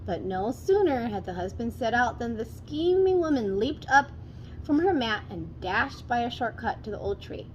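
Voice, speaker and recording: A woman reading a folktale aloud as a storyteller, in an expressive children's read-aloud style. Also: fairly high pitch, moderate pace, dull audio, slight background noise.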